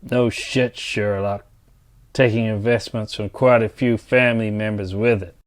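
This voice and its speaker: A man's voice: fairly low pitch, steady tone, a little slow, natural voice. A man playing a blunt, resentful, set-in-his-ways engineer in an exasperated dramatized dialogue. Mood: bitter, exasperated.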